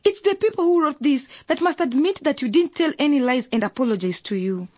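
A very high, animated voice of a loyal teenage sister in a radio drama. She is earnest and excitable, with big swings of pitch, recorded close and dry. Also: fairly quick, no echo. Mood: consoling. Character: excitable, loyal teenage younger sister who sings in a choir.

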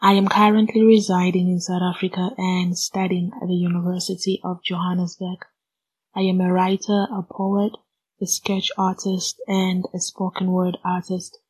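Husky, flat-voiced young woman poet from Congo. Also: fairly low pitch, normal speed, poor audio. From Democratic Republic of Congo. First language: Swahili. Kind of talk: spoken word poetry and introduction.

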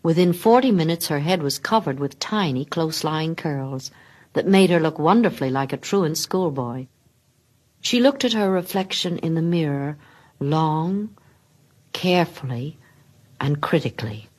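A woman narrating a literary story expressively, with theatrical pauses and hushed drops in her delivery. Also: deep voice, expressive. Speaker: story reader.

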